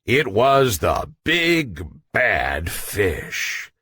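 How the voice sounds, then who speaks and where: A man's voice: on the low side, big pitch swings, extremely slow, sharp tone, breathy edge, no room sound, clean studio sound. A man narrating a children's bedtime story expressively, building suspense in a dramatic way.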